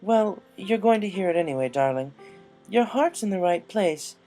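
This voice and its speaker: Guy doing a posh dramatic lady pony for a story, high voice, draws words out, music behind. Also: lively intonation, dark tone. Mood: gentle, firm. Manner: drawn-out, genteel, fond of saying darling. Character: refined, dramatic female fashion pony, tactful but firm.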